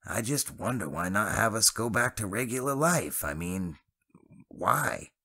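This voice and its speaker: A man voicing a folksy, gruff middle-aged male worker with a drawl. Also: lowish voice, animated, a little slow, sharp tone, pitched above the performer's own voice, exaggerated voice. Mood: conspiratorial. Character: gruff self-assured working man.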